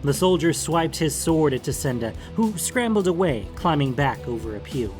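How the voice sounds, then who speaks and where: A man's voice: a little high, sing-song, normal speed, over music. A man reading scripted, dramatic fantasy narration as an audiobook narrator.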